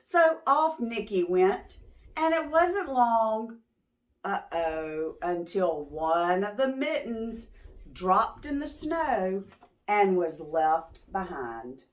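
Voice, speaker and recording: A woman reading a picture book aloud to young children, expressively, pausing to ask them questions. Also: medium pitch. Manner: expressive, drawn-out words, teacherly prompting. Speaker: children's read-aloud storyteller.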